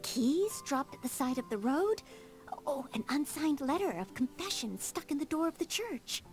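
A woman's voice: high voice, big pitch swings, moderate pace, darkish tone. A woman playing an eager, polite young maid in a video game, earnest and humble, with a formal, dutiful, slightly flustered manner. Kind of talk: video game character dialogue. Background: faint game music.

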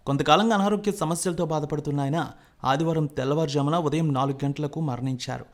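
A man presenting scripted news narration in a formal, emphatic delivery. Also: fairly high pitch.

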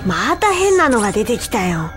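A woman playing a brash, excitable young male adventurer in a video game, casual and boyish. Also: fairly high pitch, normal speed, faint music behind. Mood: mocking. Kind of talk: video game cutscene acting.

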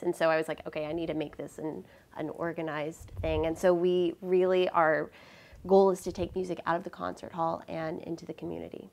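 A young woman speaking as a guest in a studio interview, chatty and upbeat with frequent fillers, on a lapel mic. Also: lowish voice. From Louisville, Kentucky. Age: young adult. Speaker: guest cellist, founder. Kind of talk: casual interview. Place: TV studio set.

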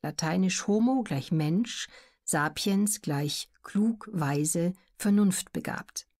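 A woman reading scripted educational narration as an audiobook narrator, in a measured, clearly articulated way. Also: on the low side, darkish tone.

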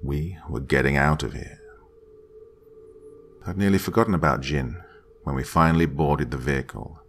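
Deep gravelly man narrating horror. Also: a little hiss or hum. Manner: slow, dramatic delivery with hushed whispered lines.

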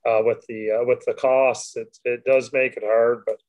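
A Canadian councillor speaks in a council meeting over a video call. He pauses often and fills gaps with hesitations. His voice sits at an ordinary middle pitch. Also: level tone, darkish tone. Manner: halting, many uh fillers.

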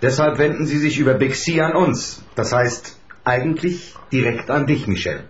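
An actor playing a businesslike, level-headed racing team member, explaining things in a measured way in a radio play. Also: male voice, mid-range voice, natural voice. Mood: serious, explaining. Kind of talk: German radio play acting. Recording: echoey, slightly dull room.

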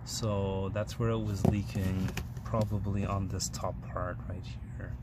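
A man's voice: low voice, little pitch movement, very noisy. A man casually walking through a DIY plumbing job on his phone camera, with lots of ums and uhs. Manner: unhurried, many ums and uhs, hands-on explaining. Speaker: DIY repair vlogger. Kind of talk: casual how-to walkthrough. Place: indoors, cramped space under a sink. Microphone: handheld phone camera, first-person view.

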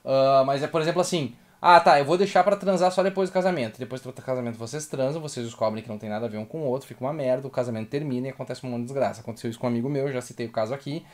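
A man's voice: medium pitch, very fast, few pauses. A man with a southern Brazilian accent chatting casually and bluntly as co-host of a livestream. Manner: blunt, colloquial. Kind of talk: casual commentary chat. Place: small home room indoors, webcam livestream.

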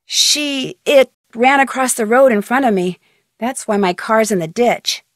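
An actress playing a curious, confident young amateur detective in a video game. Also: female voice, a little high, unhurried, dry recording, clean studio sound, lightly acted. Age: young adult. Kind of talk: video game character dialogue.

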